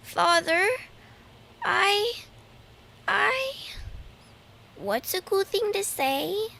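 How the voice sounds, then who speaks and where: Bright little hunter girl, eager and playful, woman playing her, slow and a bit creaky. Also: very high-pitched, lively intonation, heavily acted. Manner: playful, hams it up. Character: eager nine-year-old girl hunter in training. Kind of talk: hobby radio drama acting.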